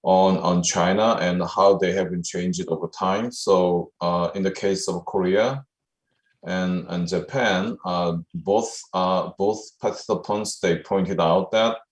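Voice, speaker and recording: A very deep-voiced man chairs a conference session and sums up the discussion, stopping often to fill pauses and restart his sentences. His voice sounds echoey, as if in a roomy space. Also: steady tone. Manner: hesitant, many uhs and restarts. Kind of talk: conference summary.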